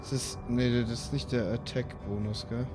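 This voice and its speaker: A man playing a tabletop game, chatting casually and hesitantly as he thinks out loud. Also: mid-range voice, fairly even intonation, normal speed. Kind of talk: casual improvised game chat. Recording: music bed behind the voice.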